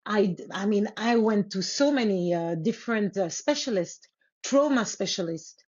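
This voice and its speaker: A woman from Congo whose first language is Lingala speaks as an interview guest, earnest and unhurried, pausing and restarting as she thinks. Her voice sits at an ordinary middle pitch for a woman. The audio is slightly dull and muffled. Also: expressive, a little mellow, breathy edge. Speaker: guest author. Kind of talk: reflective interview storytelling.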